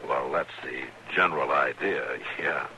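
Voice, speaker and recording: An actor playing a steady, stern American frontier marshal, laconic and firm, in old-time radio western style. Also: male voice, very deep voice, a little slow, exaggerated voice. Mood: measured, firm. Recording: noisy old radio drama recording.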